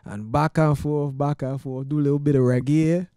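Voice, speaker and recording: A Barbadian man speaks into a handheld mic in a small studio, his voice sitting a little high. The room is dry and close. He talks loosely and animatedly, slipping into loud chanted song lines. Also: fairly even intonation, normal speed, clean tone, no room sound. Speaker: guest soca artist. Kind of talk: casual interview chat with bursts of singing. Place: small studio with green lighting, seated on couch.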